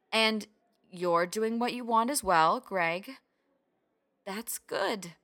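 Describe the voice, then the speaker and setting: A flighty, distracted mother in a radio drama. She speaks very slowly and hesitantly, in a bright voice, drifting vaguely between phrases. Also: a little high, heavily acted. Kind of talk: radio play acting.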